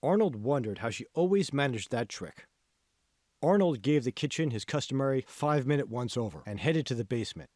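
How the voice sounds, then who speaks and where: A man reading scripted audiobook narration as an author, in measured, clearly phrased storytelling. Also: mid-range voice, animated, darkish tone, no room sound, studio quality.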